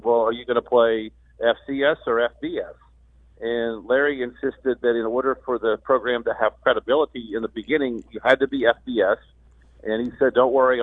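A man's middle-pitched voice comes through a dry, phone-like connection. He is a sportscaster being interviewed on sports talk radio, explaining things with halts and repeated words. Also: no echo. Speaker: radio play-by-play announcer as guest. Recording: thin phone-like line.

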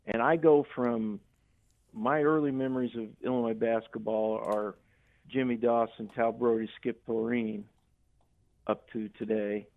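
An older man talks sports casually as a radio caller. His voice is mid-pitched for a man and comes through a thin, band-limited phone connection. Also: fairly even intonation, a little slow. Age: 60s or older. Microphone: telephone. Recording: thin, narrow phone line.

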